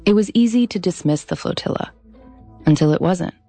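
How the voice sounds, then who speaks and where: A woman in her thirties narrates a documentary podcast in a low, steady voice, reading from a script into a studio microphone. Her delivery is measured and deliberate, easing the pace on the words she wants to land, with soft music playing underneath. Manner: measured, deliberate, slows on key phrases.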